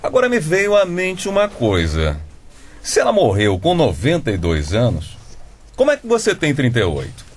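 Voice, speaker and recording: A man's voice: fairly high pitch, wildly swooping pitch, moderate pace, a little hiss or hum. An actor playing a stern, pedantic middle-aged father with a Brazilian accent in a comedic radio drama. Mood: skeptical. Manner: pedantic, sardonic, exasperated.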